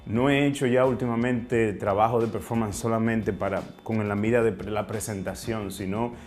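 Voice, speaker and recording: A man with a Dominican accent and a fairly low voice speaks as an interview guest. He is reflective and feels his way through sentences, often pausing for a word or adding a short questioning tag. Faint music plays behind him. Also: steady tone, a little mellow. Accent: Dominican Spanish. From Dominican Republic. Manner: hesitant, searching for words, frequent question tags.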